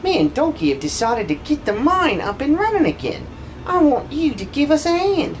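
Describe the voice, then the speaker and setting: A man voicing a brash, bossy but warm-hearted young woman miner in a video game fan dub, blunt and slangy. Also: very high voice, huge pitch swings, moderate pace, a little hiss or hum, heavily acted. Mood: businesslike.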